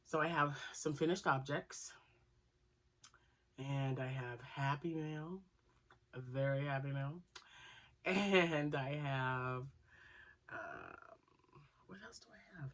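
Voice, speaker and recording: A woman's voice: a bass voice, lively intonation, takes it slowly, pauses often, fairly bright tone. A woman hosting her crochet channel, chatting casually and rambling with asides, still nasal from a cold. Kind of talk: casual chat to camera.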